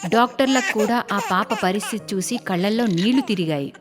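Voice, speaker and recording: A woman narrating a scripted cartoon story in an expressive storytelling style. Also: medium pitch, a little fast, in a large hall. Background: faint music.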